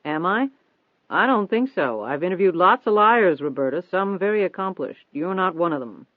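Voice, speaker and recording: A man voicing a persistent, confident newspaper reporter in an audiobook, steady, probing and insistent. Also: high voice, moderate pace, no room sound. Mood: accusing. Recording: thin phone-like band-limited audio.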